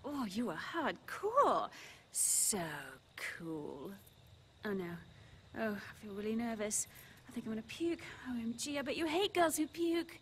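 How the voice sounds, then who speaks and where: A woman's voice: a little high, big pitch swings, a little slow, a bit bright, slight background noise. A woman voicing a giddy, nervous fangirl schoolgirl assassin in a video game, girlish and gushing. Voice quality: girlish, giggly. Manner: gushing, hesitant teen slang, sudden swoops. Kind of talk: video game cutscene acting.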